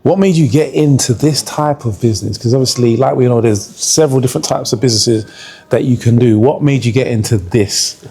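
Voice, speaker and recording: Friendly male podcast host, mellow. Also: medium pitch, brisk, slightly rough. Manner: friendly, short prompting questions. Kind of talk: street interview.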